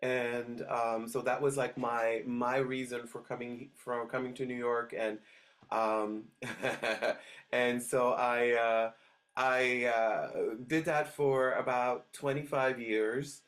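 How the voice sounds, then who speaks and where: A man's voice: medium pitch, level tone, takes it slowly, fairly bright tone. A middle-aged man talks through his story in a casual interview. He hesitates often and restarts his sentences, filling gaps with "um" and "uh.". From Switzerland. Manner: hesitant, many restarts and fillers. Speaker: dog trainer guest.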